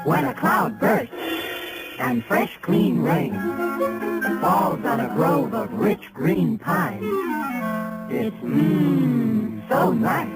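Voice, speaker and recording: Very slow, swooping female ad voice. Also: a little high, sing-song, low-quality recording. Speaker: commercial announcer. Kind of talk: dreamy scripted 1950s radio advertisement. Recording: old radio spot with loud music.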